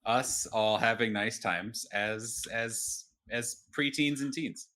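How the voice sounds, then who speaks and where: A man's voice: medium pitch, slow pace, bright, forceful tone. A man in casual podcast banter, joking in a dry, wry way as one of the players. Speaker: player on an actual-play podcast.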